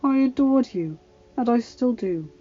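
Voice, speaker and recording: A woman voicing a smooth, persistent male senator courting an old flame in an audio story. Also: fairly high pitch, unhurried, highs cut off, faint music behind, pitched above the performer's own voice. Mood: wounded, pleading. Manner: smooth, flattering.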